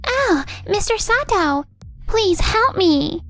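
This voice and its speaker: A woman's voice: very high voice, sing-song, takes it slowly, a bit bright, exaggerated voice. A woman playing a friendly, anxious young female office coworker in a manga dub, with a girlish, eager delivery. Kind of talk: anime-style manga dub acting. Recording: background music under the voice. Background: music.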